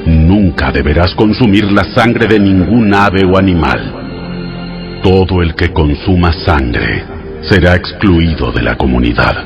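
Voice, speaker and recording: Audio Bible drama: God, low-pitched, solemn and authoritative, giving law over prominent music. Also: male voice, highs cut off. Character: God, solemn commanding male divine lawgiver.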